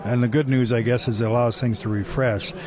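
A man's voice: mid-range voice, fairly even intonation, brisk. A man hosting an interview at a trade expo, asking rambling, joking questions. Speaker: interview host. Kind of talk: conversational tech interview. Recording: busy expo floor with crowd noise. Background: crowd chatter.

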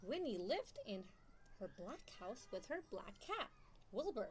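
A young woman reading a picture book aloud to young children in an expressive, questioning storytime manner. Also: on the high side, measured pace, slight background noise. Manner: expressive, asks listeners questions. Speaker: storytime reader.

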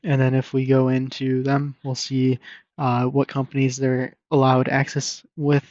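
A man presenting a casual screen-share software demo in an informal, explanatory and somewhat hesitant way. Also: mid-range voice, monotone, unhurried, no room sound. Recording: dull lo-fi microphone recording.

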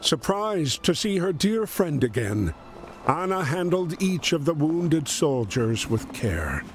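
A man performing as a solemn storyteller, narrating a wartime adventure game in a measured, grave, expressive way. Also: on the high side. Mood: solemn storytelling. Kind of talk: scripted dramatic storytelling narration. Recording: music under the voice.

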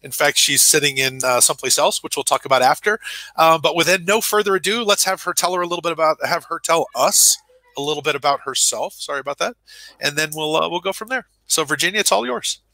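Host, fifties man, very bright dry voice, upbeat loose patter on a headset. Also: a little high, fast, creaky, no echo, poor audio. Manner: upbeat, loose, self-correcting. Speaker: host, program director. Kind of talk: hosting an online panel. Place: home office. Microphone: headset on video call.

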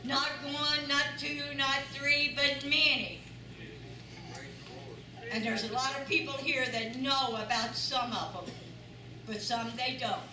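A woman's voice: high voice, takes it slowly, long pauses, a crowd in the background. Older woman giving church testimony. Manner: earnest, emphatic repetition. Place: large church sanctuary with audience. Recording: echoey sanctuary, voice from far off, noisy.

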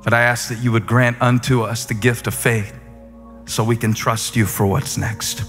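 A man's voice: medium pitch, level tone, normal speed. A male pastor delivering a sermon and prayer with rhythmic repetition that builds to emphatic peaks. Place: large church auditorium. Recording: long reverb of a large hall, soft music underneath. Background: faint music.

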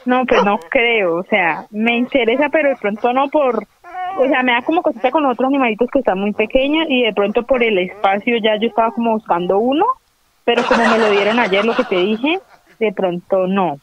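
A woman's voice: a little high, fairly quick, muffled recording. A woman talking casually on the phone as a call recipient.

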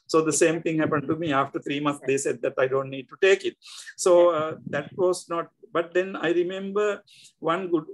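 A man's voice: a little high, poor audio. Older Sri Lankan man on a video call. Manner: halting, many fillers and restarts. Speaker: guest scholar. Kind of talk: reflective interview talk. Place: indoor home room.

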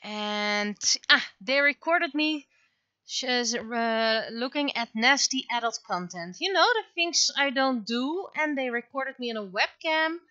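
A woman chatting casually on a livestream as she works, thinking aloud in short, halting phrases. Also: sits high, animated, pushed and bright, smooth, no echo, lo-fi sound. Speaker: livestreamer and webcomic artist. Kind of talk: casual livestream chat while working.

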